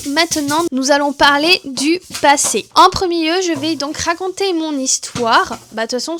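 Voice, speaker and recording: A young woman from southwest France talks to her camera in a relaxed vlog, her voice sitting high and bright. She chats loosely, trailing off into fillers and restarting her sentences as she goes. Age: 30s. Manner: chatty, many fillers and restarts. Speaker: vlogger. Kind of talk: casual chat to camera.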